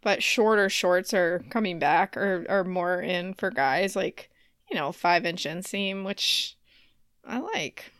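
A woman's voice: lowish voice, takes it slowly, a bit bright, clear, resonant tone, dry recording, clean studio sound. A woman co-hosting a podcast in casual banter, with a giggly, playful manner. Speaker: podcast co-host.

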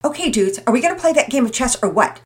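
A woman's voice: a little high, big pitch swings, a little slow, voice pushed up. A woman doing a brash, exuberant boy. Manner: boisterous, brash. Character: exuberant loud joking boy.

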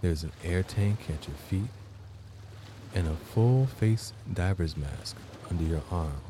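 Man, deep voice, guided meditation narration, slow measured phrasing, long pauses. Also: lively intonation, noisy background. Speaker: guided meditation podcast host.

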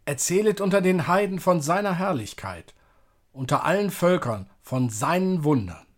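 A man's voice: on the high side, lively intonation, normal speed. A man reading psalm and prayer as a church service leader, solemn and declamatory.

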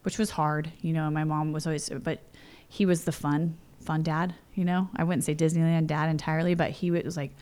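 A woman's voice: deep voice, flat delivery, brisk, hardly any pauses, darkish tone, clear, resonant tone. A woman in her forties from California, telling personal stories in a chatty interview full of "you know" and "like". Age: 40s. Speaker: interview guest. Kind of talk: casual storytelling. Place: home.

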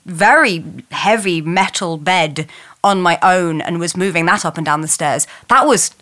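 A woman chatting casually and comically as a podcast guest, animated, rambling and self-mocking. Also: fairly low pitch, unhurried.

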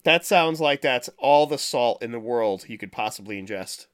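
A man's voice: mid-range voice, animated, normal speed. A man from the United States hosting a casual podcast chat, jokey and sweary with plenty of exclamations. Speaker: podcast host.

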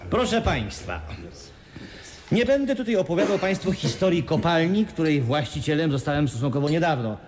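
Man playing high-voiced, commanding mine owner. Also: animated, moderate pace, crowd noise, natural voice. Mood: formal, emphatic. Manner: formal, emphatic, authoritative. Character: evasive, commanding male mine owner hosting a press conference. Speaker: actor. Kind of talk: 1980s Polish radio play acting.

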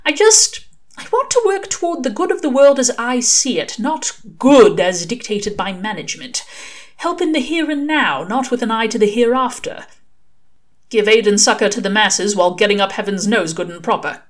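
A woman's voice: fairly high pitch, big pitch swings, moderate pace, pitched above the performer's own voice. Prim male angel, voiced by a woman: formal, fussy, earnest, a touch smug, in a storybook reading. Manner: formal, mannered wording.

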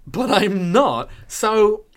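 A man YouTuber chatting casually, candid and excitable, swearing freely. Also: high-pitched, extremely slow. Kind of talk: casual chat.

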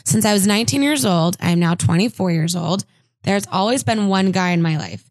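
A woman's voice: medium pitch, brisk, no echo. A woman co-hosting a chatty podcast reads listener letters aloud in a mid-range voice, breaking off for playful asides. The recording is close and dry. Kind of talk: casual chat.

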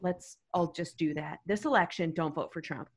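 A woman's voice: deep voice, normal speed, breathy edge. A woman telling a comedic story to a podcast panel, rambling and emphatic. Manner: animated, rambling, emphatic with "freaking". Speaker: guest storyteller.

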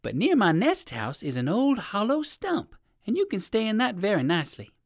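Lady doing a nice helpful crow for a kids story, way higher pitch, very animated, reassuring. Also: moderate pace, no echo, studio quality. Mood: friendly.

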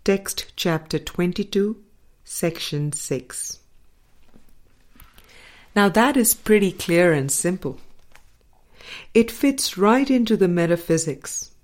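A woman narrating a spiritual audiobook from a script, in measured, deliberate phrases with frequent pauses. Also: fairly low pitch, animated, a little mellow. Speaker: audiobook reader.

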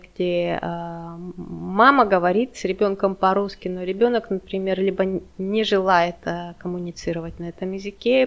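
A woman podcast host asking questions in an animated, emphatic way. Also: mid-range voice. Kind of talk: casual podcast conversation.

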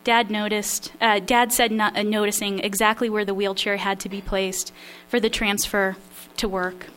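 A woman's voice: mid-range voice, level tone, moderate pace, a bit bright, a little hiss or hum. A woman writer reading a scene from her memoir aloud, a little nervous and self-deprecating. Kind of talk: memoir reading at a literary event.

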